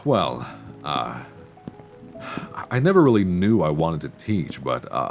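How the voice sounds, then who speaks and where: A man playing a kindly, bookish, youngish professor with a gently flirtatious, reassuring manner in an intimate audio roleplay, close on a binaural mic. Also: low-pitched. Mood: reflective, candid. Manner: soft chuckles, reassuring, gently teasing, musing. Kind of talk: intimate audio roleplay, one side of a conversation. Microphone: close binaural microphone.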